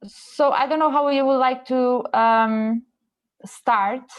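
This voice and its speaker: Higher-voiced woman moderating, somewhat tentative. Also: steady tone, slow, lo-fi sound. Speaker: seminar moderator. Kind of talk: casual, polite moderating. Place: online seminar call.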